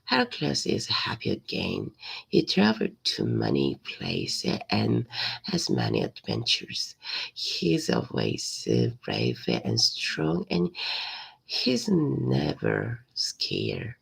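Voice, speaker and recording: A woman reading a simple story aloud in a halting, hesitant way, often repeating words. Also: a bass voice, wildly swooping pitch, creaky, husky. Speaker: language learner reading aloud.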